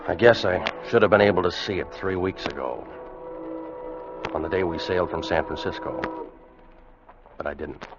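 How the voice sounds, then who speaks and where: An actor playing a weary American first mate of a sailing schooner in an old radio drama. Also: male voice, sits low, normal speed, pauses often. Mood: regretful. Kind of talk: 1940s-50s radio drama acting, first-person narration and dialogue. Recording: muffled vintage radio recording, music behind.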